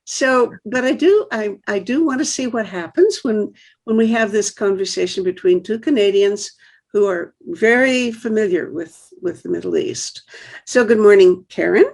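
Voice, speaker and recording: A woman's voice: mid-range voice, normal speed, a little mellow. Older woman hosting a video chat. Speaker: host. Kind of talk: casual interview chat. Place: home room with bookshelves. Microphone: webcam on a video call.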